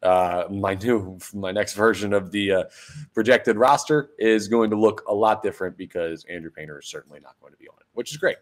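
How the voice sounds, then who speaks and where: A young man hosting a solo sports podcast, his voice hoarse and deeper after a night of drinking, chatting sarcastically with rambling fillers. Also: brisk. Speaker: podcast host. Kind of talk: casual solo sports talk.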